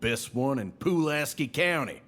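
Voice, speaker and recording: A man's voice: medium pitch, expressive, unhurried, a bit bright. Brash Southern salesman, big swooping delivery. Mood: cocky, mocking. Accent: American South. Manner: folksy, colourful drawling idioms, needling. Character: brash, folksy Southern salesman, sly and aggrieved. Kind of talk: scripted audio drama acting.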